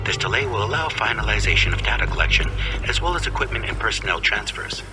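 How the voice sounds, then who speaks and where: A man voicing a clinical researcher who dictates an experiment log in a video game, formal and measured. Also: on the low side, lively intonation, close to an everyday voice. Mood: detached, clinical. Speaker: scientist recording a research log. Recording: distant voice under loud music.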